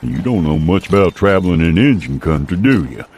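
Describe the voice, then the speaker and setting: A man's voice: deep voice, lively intonation, a little fast, a little mellow. An actor playing a grizzled, mischievous old mountain man with an American frontier drawl in a western audio drama, folksy, blustery and teasing. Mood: wry, needling.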